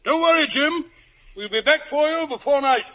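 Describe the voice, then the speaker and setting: An actor playing a hearty, blustering gentleman squire in a 1950s radio drama, pompous and sputtering as he gives orders. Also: very high-pitched, moderate pace, lo-fi sound. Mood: flustered, hearty. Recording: vintage 1950s radio broadcast.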